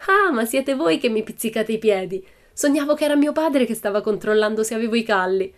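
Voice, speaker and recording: A woman's voice: on the high side, expressive, a little fast, pitched above the performer's own voice, lightly acted. A woman voicing a bold, cheerful little red-haired girl who tells breezy tall tales in a storybook reading.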